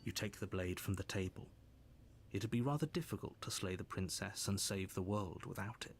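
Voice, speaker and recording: A stern game narrator with a lowish, measured voice, dry and commanding. Clear game music runs under every line. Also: male voice. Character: stern male narrator voice guiding the hero.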